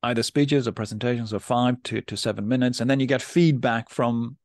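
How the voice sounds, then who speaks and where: A man's voice: mid-range voice, fairly even intonation, dry recording. Polite man hosting a podcast interview. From Norway. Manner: measured, polite, with frequent restarts. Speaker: podcast host and debate coach.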